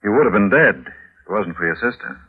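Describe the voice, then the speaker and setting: A no-nonsense police inspector in old-time radio drama. His low voice is husky and rough, with authoritative, reassuring delivery. The recording is very thin and muffled. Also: animated, fast talker. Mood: explanatory. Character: matter-of-fact, dismissive police inspector. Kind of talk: 1950s radio drama acting.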